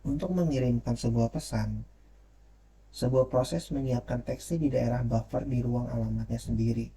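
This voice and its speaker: A man presents material in a formal, read-aloud lecture style. His voice is very dark and soft-edged, with a creaky grain and a mid-range pitch. He stumbles now and then as he reads, over a faint noisy ambience. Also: fairly even intonation, moderate pace. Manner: formal, reading with occasional stumbles. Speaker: presenter reviewing a technical paper.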